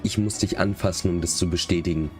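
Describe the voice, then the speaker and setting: Male streamer: very deep pitch, a bit unwell, relaxed chatty commentary over soft game music. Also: fast. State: slightly sick. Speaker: live streamer.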